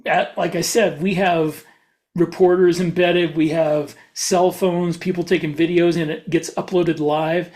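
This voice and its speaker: A man speaks as an author and podcast host in a casual discussion. He lays out his explanations haltingly, often backing up to restart a thought. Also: fairly high pitch, level tone, darkish tone.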